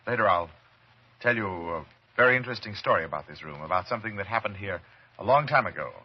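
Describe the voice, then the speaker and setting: An actor playing an obsessed, murderous doctor in a theatrical old-time radio horror drama. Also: male voice, lowish voice, expressive, fast talker, breathy edge. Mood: quietly menacing. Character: obsessed doctor turned coldly murderous, later terrified. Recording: dull, noisy vintage radio recording.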